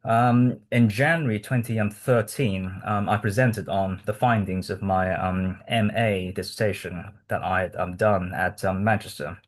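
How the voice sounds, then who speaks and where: A man from Hong Kong, a native Cantonese speaker, giving an academic presentation to a research group, halting with many ums and restarts. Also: low voice, steady tone.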